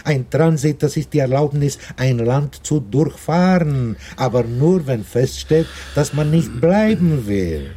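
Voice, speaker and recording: An actor playing a talkative, world-weary older man in a German radio drama, lecturing emphatically with halting pauses and repetitions. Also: mid-range voice, lively intonation, dark tone, husky. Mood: lecturing, insistent.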